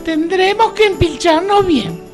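A bratty, spiteful stepsister from a comic radio fairy tale, with a Rioplatense accent from Argentina. The voice is extremely high and drawls its exaggerated exclamations slowly, with light music behind. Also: female voice, animated. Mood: excited. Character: spoiled, spiteful, greedy young stepsister, whiny and gleeful. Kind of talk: comic radio theatre acting.

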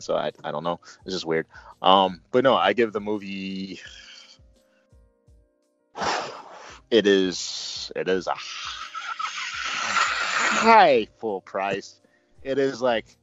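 A man's voice: low voice, no room sound, muffled recording. A man hosting a casual movie review, talking in a joking, rambling way.

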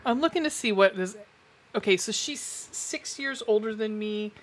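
A woman in her forties with a deep voice, co-hosting a casual movie recap, swearing and laughing often. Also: normal speed, rough recording. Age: 40s. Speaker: podcast co-host.

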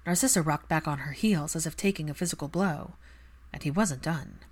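A woman's voice: sits low, brisk. A woman reading a story aloud as narrator, in a dramatic scripted narration style. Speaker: fan fiction narrator.

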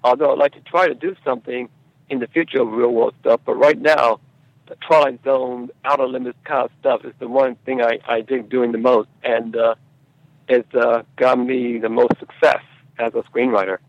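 A man answering as a screenwriter guest in a conversational interview, speaking haltingly and repeating his words and phrases. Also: medium pitch, fairly even intonation, dry recording, muffled recording.

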